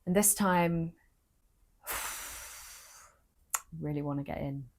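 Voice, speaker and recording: A young woman, vlogging earnestly into her phone with a low-energy voice, confiding and emotional. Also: very slow, slight background noise. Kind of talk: personal vlog talking to camera.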